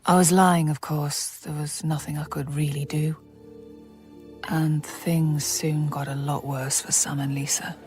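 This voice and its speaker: A woman's voice: deep voice, flat delivery, measured pace, a little mellow, faint music behind. An actress playing a troubled mother who recounts an epidemic in a sober, reflective radio drama style. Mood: grim, reflective. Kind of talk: radio drama narration and dialogue.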